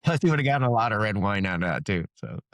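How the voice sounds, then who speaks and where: Male author, low pitch, dry close sound, easygoing conversational interview delivery. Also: sing-song, rapid-fire, no echo. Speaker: fantasy author being interviewed.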